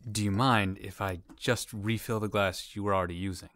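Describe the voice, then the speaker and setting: A man's voice: fairly low pitch, fairly even intonation, brisk, fairly bright tone, close to an everyday voice. A man playing a blunt, world-weary tavern keeper in a radio play, with a folksy drawl and dry grumbling manner.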